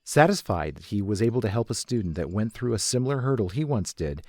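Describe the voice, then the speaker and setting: Low-pitched, expressive male story narrator. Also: fast, dry recording, clean studio sound. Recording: music under the voice.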